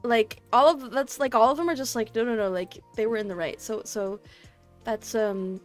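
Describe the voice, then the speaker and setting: A woman in casual stream chat, reading out comments with quick, low-key asides. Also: faint music behind. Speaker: streamer co-host.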